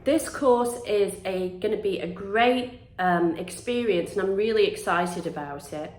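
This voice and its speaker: Congested female host, casual rambling chat to camera, medium pitch. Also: a little slow, a little mellow. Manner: rambling, chatty, with restarts and ums. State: congested from the flu. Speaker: channel host, witchcraft crafts teacher.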